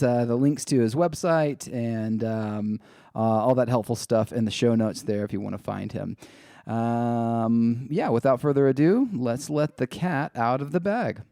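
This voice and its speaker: A man with a warm, dark-toned voice of medium pitch, recorded very dry. He hosts a podcast in an easygoing, informal chat style. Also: no room sound. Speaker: podcast host. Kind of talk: casual chat.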